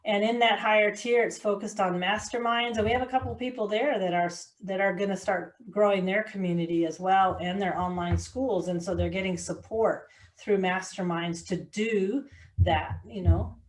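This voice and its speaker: A woman's voice: mid-range voice, steady tone, normal speed, poor audio. A middle-aged woman, a podcast guest talking earnestly and from the heart, often restarting her sentences. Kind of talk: casual interview conversation.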